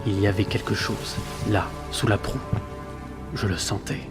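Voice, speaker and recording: A man playing a haunted, uneasy sailor telling his journal in a tense, measured way for an audio drama. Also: lowish voice, little pitch movement, natural voice. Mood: haunted, hushed. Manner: tense, suspenseful, measured storytelling. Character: haunted young male sailor keeping a journal at sea, uneasy, rational. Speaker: narrator and lead actor of an audio drama. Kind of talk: dramatic first-person narration, audio fiction. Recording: prominent music behind the voice. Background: dramatic music.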